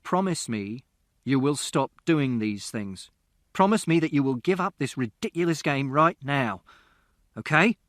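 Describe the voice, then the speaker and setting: A man's voice: mid-range voice, animated, brisk, hardly any pauses, fairly bright tone, no echo, clean studio sound, close to an everyday voice. A man doing a stern, scolding father. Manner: scolding, raising his voice. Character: stern exasperated father, middle-aged man. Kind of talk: audiobook reading.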